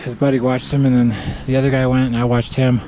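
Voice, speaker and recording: A man's voice: mid-range voice, little pitch movement, fast. Guy talking to his action cam out skiing in the woods, unsure of himself, lots of uh's, windy outdoor noise. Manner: hesitant, self-critical, with nervous laughs. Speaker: backcountry skier filming himself. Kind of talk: thinking aloud to camera. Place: snowy mountain forest outdoors. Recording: outdoor wind and camera noise.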